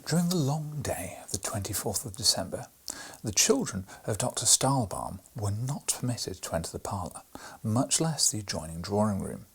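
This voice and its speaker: A man reading a bedtime storybook aloud in a soft, hushed delivery with a breathy voice. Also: lowish voice, animated, moderate pace. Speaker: storybook reader.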